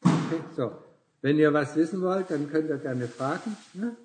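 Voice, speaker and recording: A man's voice: on the high side, normal speed, noisy background. A man in his sixties talking casually as a Buddhist teacher with visitors, tagging nearly every phrase with a questioning "ne?" and chuckling often. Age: 60s.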